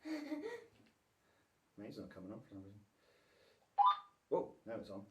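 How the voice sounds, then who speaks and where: A man's voice: lowish voice, wildly swooping pitch, moderate pace, dark tone. A man chatting informally and playfully, as a father does in a casual vlog. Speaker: vlogger and father. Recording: echoey, with clear background noise.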